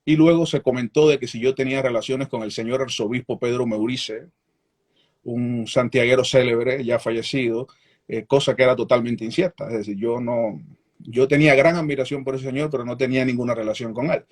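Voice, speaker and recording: Cuban journalist guest, middle-aged man, husky voice, candid and measured. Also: medium pitch, steady tone. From Cuba. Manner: frequent restarts, measured and candid. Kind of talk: reflective interview answers.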